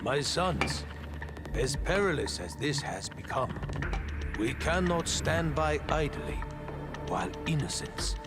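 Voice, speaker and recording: A man voicing a wise old rat martial-arts master in a video game, fatherly, solemn and formal, with an aged, measured delivery. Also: fairly high pitch, big pitch swings, over loud music. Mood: solemn, encouraging. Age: older.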